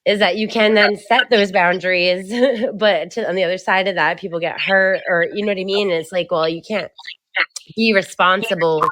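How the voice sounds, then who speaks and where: Loud woman co-host, animated storyteller who laughs a lot, dry close mic. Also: on the low side, moderate pace, no room sound. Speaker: podcast co-host. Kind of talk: casual chat.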